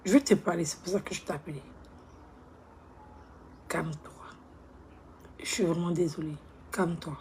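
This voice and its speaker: A woman playing a remorseful young woman who begs her ex on the phone. She speaks softly and pleads, often close to a whisper. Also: fairly low pitch, steady tone, moderate pace, long pauses, a little hiss or hum, natural voice. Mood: pleading. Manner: soft, hushed, pleading, often near a whisper. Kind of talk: comedy skit monologue.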